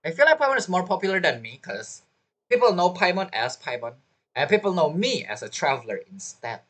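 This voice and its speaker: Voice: mid-range voice, big pitch swings, a little fast, a bit bright. A streamer giving casual gameplay commentary, joking and teasing as they talk back to characters.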